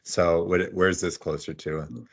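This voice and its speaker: Deep-voiced man, podcast host. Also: level tone, takes it slowly. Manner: playful, jokey. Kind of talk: casual chat.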